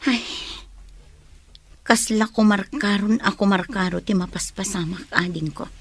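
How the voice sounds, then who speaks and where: Cautious Ilocano elder sister, protective, breathy-rough tone, radio drama. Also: female voice, medium pitch, measured pace. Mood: worried, soothing.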